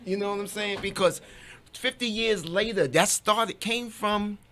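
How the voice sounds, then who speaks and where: A man's voice: high voice, measured pace, bright, forceful tone. A man speaking casually and emphatically as an interview guest, repeating phrases for stress. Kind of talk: casual chat. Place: radio studio, indoors.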